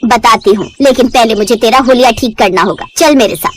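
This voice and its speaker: A woman's voice: a little high, fairly quick, slight background noise, close to an everyday voice. A woman playing an old village mother-in-law in a cartoon story, scornful, scheming and commanding. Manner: scornful, commanding, earthy insults.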